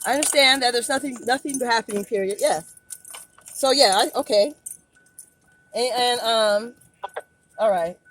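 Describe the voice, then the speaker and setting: A woman's voice: a little high, measured pace, long pauses, fairly bright tone, faint music behind, poor audio. A woman talking from inside her car into a phone recording, anxious and rambling, stammering and repeating herself deferentially. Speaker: driver recording a police stop.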